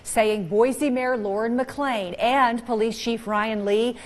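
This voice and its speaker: A woman co-anchors an evening newscast, reading the script in a crisp, formal style. Her voice sits a little high. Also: fairly even intonation, takes it slowly. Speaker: news anchor. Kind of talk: live newscast.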